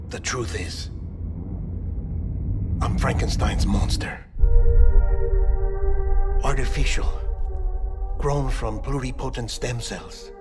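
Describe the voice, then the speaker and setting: An actor playing a calm, talkative artificial man built from cadaver parts, speaking in a measured, confiding way in a game cutscene. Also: medium pitch, lightly acted. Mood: confessional. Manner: measured, slow, confiding delivery. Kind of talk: video game cutscene acting. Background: game score music.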